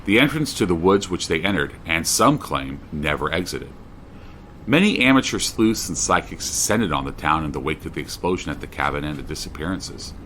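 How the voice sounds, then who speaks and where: Man acting a low-voiced, animated true-crime host. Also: a little fast, a little hiss or hum, heavily acted. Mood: serious, narrating. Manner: inquisitive, serious. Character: probing, persistent male true-crime podcast host. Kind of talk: true-crime podcast narration and interview.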